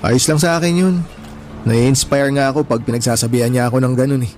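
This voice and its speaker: A man's voice: mid-range voice, fairly even intonation, fast talker, darkish tone, soft background music, lightly acted. A man playing an earnest, humble, hardworking young husband in a radio drama, talking in a mild conversational way. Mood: easygoing. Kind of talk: Tagalog radio drama dialogue.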